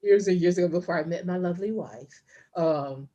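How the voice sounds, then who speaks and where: A woman's voice: on the low side, a little fast, dark tone, dull audio. A middle-aged woman co-hosting a podcast, telling stories casually with lots of fillers and restarts. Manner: storytelling, many fillers and restarts. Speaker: podcast co-host. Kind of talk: casual chat.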